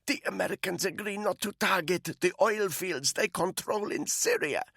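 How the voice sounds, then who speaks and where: A man voicing a captive woman spy who croaks and gasps for air as she is strangled. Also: medium pitch, normal speed, fairly bright tone, no room sound, studio quality, pitched above the performer's own voice. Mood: strained, fearful. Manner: croaking, strained pleading. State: choking, gasping.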